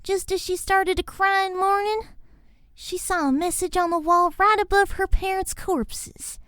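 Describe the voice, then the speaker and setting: A woman voicing a young filly pony who tells spooky stories in a slow, theatrical manner. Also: very high-pitched, steady tone, a bit bright, no room sound, studio quality. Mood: hushed, eerie. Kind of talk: dramatic ghost-story telling.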